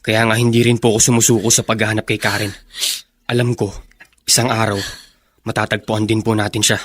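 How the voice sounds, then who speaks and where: Fast-talking, earnest young Filipino son. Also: male voice, fairly low pitch, level tone, fairly bright tone. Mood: determined. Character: earnest, dutiful young adult son, respectful. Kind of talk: Tagalog radio drama acting.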